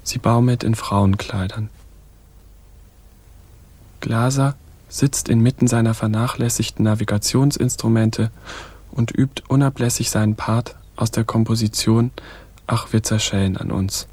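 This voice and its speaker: A man's voice: on the low side, steady tone, unhurried, darkish tone, slightly rough. A man narrating a radio drama from a script in a matter-of-fact manner.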